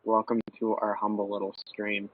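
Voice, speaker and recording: A young man from Minnesota co-streaming a game, excitable and jokey, swearing at mishaps. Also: on the low side, moderate pace, slight background noise. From USA, Minnesota. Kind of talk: casual gaming stream chat. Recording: tinny phone-like voice chat.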